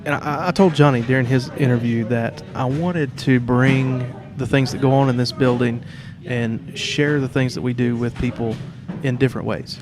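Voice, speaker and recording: A man's voice: mid-range voice, fairly even intonation, normal speed, few pauses, over music. A man hosting a podcast in an informal, conversational way, recorded in a shared studio space in a theater. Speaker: podcast host. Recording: clear background noise.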